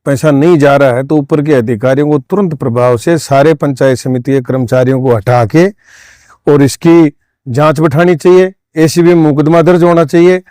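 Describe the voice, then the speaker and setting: A man's voice: on the high side, fairly even intonation, moderate pace, mellow, no echo, clean studio sound. A man giving an insistent interview statement as a local political figure, point by point.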